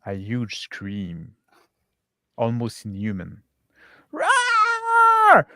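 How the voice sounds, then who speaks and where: A man improvising narration as game master of a tabletop roleplaying game, in a halting, repetitive way. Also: mid-range voice, no room sound, studio quality.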